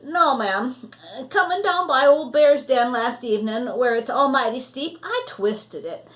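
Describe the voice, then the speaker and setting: A woman's voice: high voice, expressive, unhurried. A woman voicing a shy, polite mountain boy who talks in a plain, folksy country way in a storybook.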